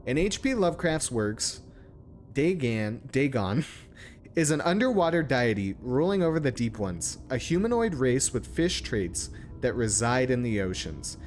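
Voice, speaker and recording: A man's voice: mid-range voice, big pitch swings, unhurried, faint music behind. A man streaming a game, commenting casually and reading text aloud in an informal, sometimes stumbling way.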